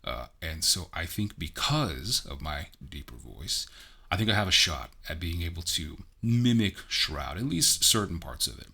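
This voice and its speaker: A man with a deep voice, casually reviewing a game in a chatty, self-deprecating way. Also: animated, normal speed, vocal fry, bright, forceful tone, breathy edge. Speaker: game reviewer and amateur voice actor.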